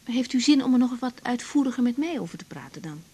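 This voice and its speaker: High-voiced young Dutch doctor. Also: female voice, big pitch swings, fast, warm tone, slightly rough. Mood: caring. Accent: Netherlands Dutch. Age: young adult. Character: young female doctor, patient and practical. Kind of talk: naturalistic 1980s radio drama acting.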